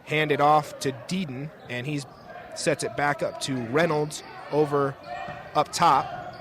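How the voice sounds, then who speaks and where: A man's voice: a little high, fairly even intonation, slow pace, a crowd in the background. A young man doing live radio play-by-play commentary of a basketball game from inside a school gym. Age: young adult. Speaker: play-by-play announcer. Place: indoor school sports gym. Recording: noisy courtside gym, ball bounces behind. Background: gym ambience, bouncing basketballs, clear noise.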